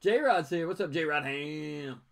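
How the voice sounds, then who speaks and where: A man's voice: on the high side, expressive, takes it slowly. A man hosting a live show, casually reading comics aloud and commenting with mock-incredulous asides.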